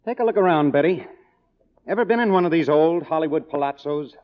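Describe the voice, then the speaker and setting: Actor playing a cynical, animated noir leading man. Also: a little high, fairly quick, natural voice. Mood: bitterly sardonic. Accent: American. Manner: sardonic, hard-boiled, clipped. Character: cynical kept younger man, struggling Hollywood writer, bitterly proud. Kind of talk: 1950s radio noir acting with narration. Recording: dull vintage radio drama recording.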